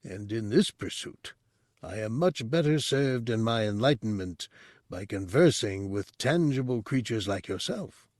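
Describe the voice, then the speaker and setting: A man voicing an ancient disembodied monk's brain, a deep, formal, serene teacher with a bemused manner. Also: expressive, a little slow, darkish tone, no echo, studio quality. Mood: measured, scholarly.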